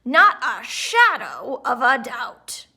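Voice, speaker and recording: An old grandpa groundhog from a children's story, as a woman reading aloud puts him on, folksy and proud. He drawls his words slowly in a lively, sing-song way. The voice stays bright. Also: on the high side, gravelly, husky, exaggerated voice.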